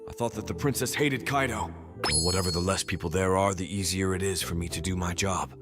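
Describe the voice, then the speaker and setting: Guy doing a scheming anime villain thief, low smug voice, lots of reverb. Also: brisk, over loud music, natural voice. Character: scheming male thief posing as a mansion servant. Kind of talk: anime-style dub, villain monologue.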